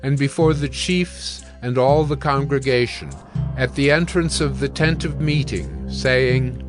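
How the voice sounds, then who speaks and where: A man narrating scripture from a script in a solemn, formal and measured way. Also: mid-range voice, music in the background.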